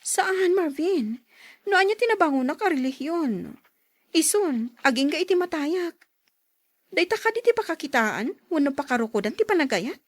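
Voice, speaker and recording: A woman acting a young daughter in love, firm in her principles, in an Ilocano radio drama with earnest, expressive delivery. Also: sits high, normal speed, dry recording. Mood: hurt, firm.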